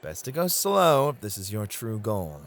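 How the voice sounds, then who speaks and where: A man's voice: fairly low pitch, very animated, moderate pace. A man playing a charming, gallant club host in an ASMR roleplay, flirty and teasing in an effusive, courtly way. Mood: warm, teasing.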